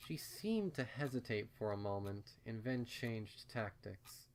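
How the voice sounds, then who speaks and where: A man's voice: lowish voice, expressive, unhurried. A man reading fan fiction aloud as a first-person story narration.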